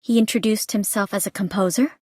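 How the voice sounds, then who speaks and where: A woman playing a reserved, watchful young investigator in a video game, speaking in a deliberate, guarded way. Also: medium pitch, steady tone, darkish tone, slightly rough, no room sound, clean studio sound, lightly acted. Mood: inquiring, guarded. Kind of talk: scripted video game dialogue and monologue. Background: music.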